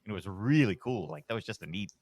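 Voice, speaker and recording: Podcast guest, deep-voiced man, middle-aged, relaxed and self-deprecating, dry close mic. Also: quick pace, no echo. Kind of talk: casual storytelling.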